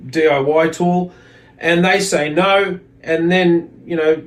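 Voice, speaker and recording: A man's voice: on the high side, fairly even intonation, takes it slowly. A man giving an informal religious lesson, reading aloud and explaining in a hesitant, reflective manner. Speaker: religious teacher.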